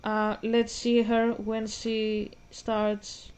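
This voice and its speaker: Young woman, fairly high voice, rambling reaction vlog, talks to viewers. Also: completely flat delivery, very slow, a little mellow, clear, resonant tone. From Greece. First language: Greek. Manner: rambling, self-interrupting, talks straight to viewers. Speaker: vlogger. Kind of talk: casual reaction commentary.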